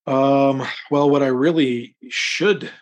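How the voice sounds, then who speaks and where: A middle-aged man with a husky voice giving casual interview answers, thinking aloud in rambling, broken phrases. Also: medium pitch, steady tone, takes it slowly. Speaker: interview guest, crime novelist.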